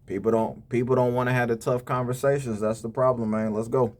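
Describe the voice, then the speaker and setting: A man in casual reaction-video commentary who talks like he is preaching, full of slang and direct advice. His voice sits at an ordinary middle pitch, and his lines often drop in tone as he gets serious. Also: steady tone, fairly quick, darkish tone. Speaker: reaction channel host.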